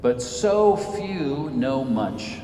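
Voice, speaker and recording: A man in his fifties from Senegal, giving a measured, earnest public lecture from a podium in a church. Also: on the high side, sing-song, dark tone. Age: 50s. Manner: measured, earnest, rises and falls for emphasis. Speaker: guest speaker, author. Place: large church sanctuary. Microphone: podium mic, recorded from far off. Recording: echoey church, distant mic, faint hiss.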